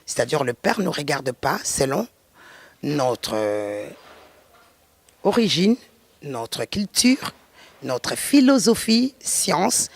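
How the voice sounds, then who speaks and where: A middle-aged woman from Congo giving a slow spoken testimony and preaching, pausing and restarting as she talks. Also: low-pitched, vocal fry, breathy edge, no echo. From Congo (DRC). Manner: slow and deliberate, with hesitant restarts.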